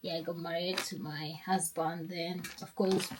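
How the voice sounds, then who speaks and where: A woman's voice: fairly low pitch, level tone, moderate pace, noisy background. A young woman, chatting casually in a sit-down vlog, upbeat and rambling with restarts. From Kenya. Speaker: lifestyle vlogger.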